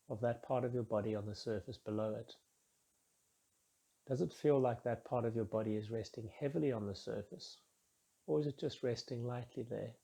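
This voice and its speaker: A man leading a guided meditation in a soft, husky voice, calm and soothing, with measured instructions and gently falling phrase endings. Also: mid-range voice.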